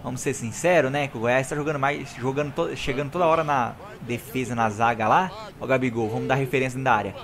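Fast-talking hoarse Brazilian gamer guy. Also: medium pitch. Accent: Brazilian Portuguese. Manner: excited, slangy, talks to viewers. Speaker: gaming YouTuber. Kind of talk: live gameplay commentary.

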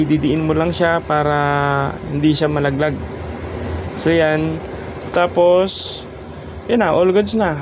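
A man with a Filipino accent giving a casual, halting how-to narration as a vlogger, often searching for words. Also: fairly high pitch, background noise. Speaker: motovlogger and host giving a product installation guide.

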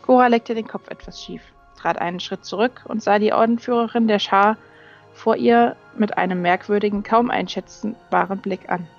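Woman narrating a fantasy audiobook, middle pitch, bit muffled recording, music behind. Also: fairly even intonation, moderate pace, creaky. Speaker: audiobook reader. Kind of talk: scripted narration of a fantasy novel. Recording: dull lo-fi sound, music behind.

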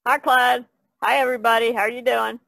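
A woman's voice: a little high, flat delivery, fairly quick, gravelly, fairly bright tone, no room sound. An American woman chatting casually as a podcast co-host. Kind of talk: casual chat.

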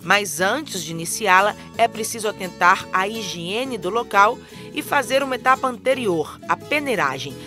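A woman reading a scripted instructional voice-over in a clear, even manner. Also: mid-range voice, normal speed. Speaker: narrator. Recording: music under the voice.

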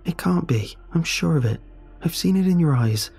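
A man's voice: on the high side, lively intonation, normal speed, gravelly, a little mellow, slightly rough, faint music behind, voice pushed up, heavily acted. A man voicing an earnest young man who pleads desperately with a friend. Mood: pleading.

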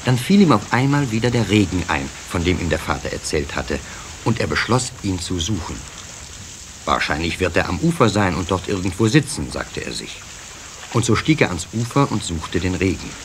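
A man narrating a scripted children's story in an expressive storytelling manner. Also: deep voice, normal speed. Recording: cassette recording with faint hiss.

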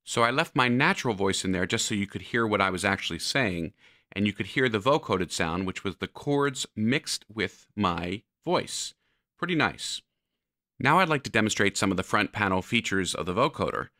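A man narrating a software tutorial, explaining step by step like an instructor. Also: fairly low pitch, expressive, moderate pace, dry recording, clean studio sound.

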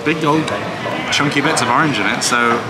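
A man's voice: medium pitch, moderate pace, heavy background noise. A man in his thirties chatting casually on camera as he reviews food for a travel vlog. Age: 30s. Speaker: travel vlogger. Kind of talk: casual chat while tasting food. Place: busy restaurant.

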